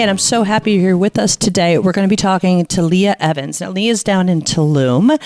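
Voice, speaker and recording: A woman hosting a podcast interview, a little hoarse from a cold, chatty and rambling in her questions. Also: deep voice. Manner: bubbly, rambling questions with restarts. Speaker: podcast host. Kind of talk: casual interview chat.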